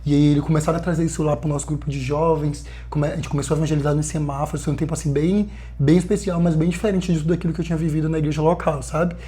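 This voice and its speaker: Earnest young missionary man, mellow voice. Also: a little high, racing, few pauses. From Bahia, Brazil. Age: 20s. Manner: earnest, lively storytelling with frequent fillers. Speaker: missionary guest. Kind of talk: casual podcast conversation.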